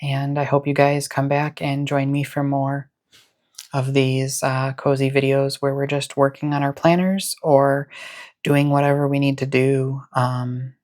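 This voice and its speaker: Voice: mid-range voice, monotone, measured pace, a little mellow. A person chatting casually as the host of a cozy hobby vlog, with a soothing voice and a chill, rambling, encouraging manner. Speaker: host of a planner and stationery channel. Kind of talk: casual cozy chat to camera.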